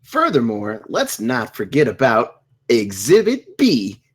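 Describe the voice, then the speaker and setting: Someone doing a loud dumb courtroom defendant, brash, pitch all over the place, comedy read. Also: huge pitch swings, slow pace, husky, heavily acted. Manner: brash, chanting, argumentative. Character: loud, dim, unruly murder defendant. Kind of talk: comedy script table read.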